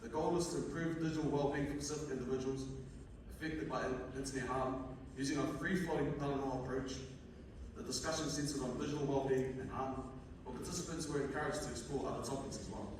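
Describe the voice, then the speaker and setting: A young man from Fiji with a Fijian accent gives a conference presentation as a student researcher, reading in a halting way with stumbles. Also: mid-range voice, fairly even intonation. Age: young adult. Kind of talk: conference presentation, partly read from notes. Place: indoor conference room at a university. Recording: echoey hall, distant mic, noisy room.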